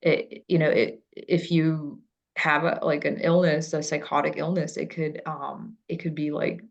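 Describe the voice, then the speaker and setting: A psychiatrist from Ukraine talking through ideas in a relaxed professional discussion, thoughtful and halting, with many fillers. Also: female voice, sits low, creaky, lo-fi sound.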